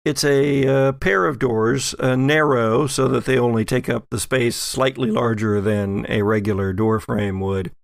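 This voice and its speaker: Slow, gravelly man telling a story. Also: medium pitch, flat delivery, no room sound, clean studio sound. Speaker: game master of an actual-play podcast. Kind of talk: narrating a horror-and-humor tabletop game.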